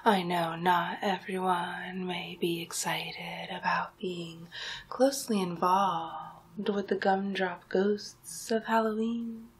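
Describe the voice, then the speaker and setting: A woman in her thirties playing a seductive, coaxing Halloween monster in a breathy whispered ASMR roleplay, drawing out her words close to the microphone. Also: lowish voice, flat delivery, a little hiss or hum. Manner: slow, coaxing, drawn-out words.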